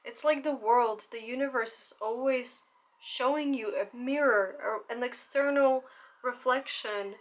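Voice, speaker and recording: A young woman talking candidly to camera as a content creator, swearing casually and laughing often. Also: fairly high pitch.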